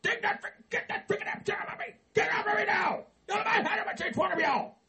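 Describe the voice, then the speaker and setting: A man playing a gravelly, cursing Christmas-hater. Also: very high-pitched, expressive, normal speed, a crowd in the background, full character voice. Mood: angry. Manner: profane, blustering, exasperated. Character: grumpy cursing middle-aged man who hates Christmas. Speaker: comedy character.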